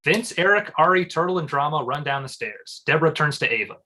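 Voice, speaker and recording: A man's voice: a little high, lively intonation, a little fast, pushed and bright, slight background noise. A man reading stage directions as scripted narration at a comedy table read.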